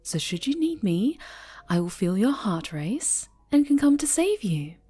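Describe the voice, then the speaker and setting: A woman playing an obsessive, possessive dark-angel superheroine turned villain in an intimate ASMR roleplay, half-whispering with menacing tenderness. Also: mid-range voice, very animated, unhurried, slightly rough, soft background music. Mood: adoring, intense.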